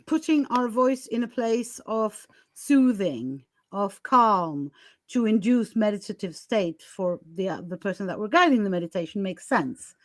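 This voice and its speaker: A woman's voice: mid-range voice, expressive, unhurried, no room sound. A woman podcast host talking candidly and casually, emphatic and given to chuckling as she goes.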